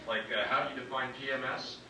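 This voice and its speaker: A man's voice: medium pitch, steady tone, quick pace, lightly acted. Man acting as frank comedy mentor, very echoey room. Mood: frank, reassuring. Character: frank, encouraging male comedy mentor critiquing a friend. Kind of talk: conversational staged reading of a comedy script. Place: live comedy club staged reading. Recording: echoey, noisy live room, distant mic.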